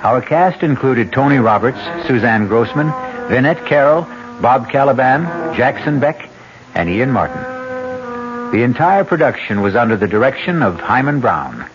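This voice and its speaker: A man hosting and narrating a 1970s radio mystery with dramatic, measured storytelling. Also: mid-range voice, sing-song, background noise. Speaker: host and narrator. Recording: dull 1970s radio recording.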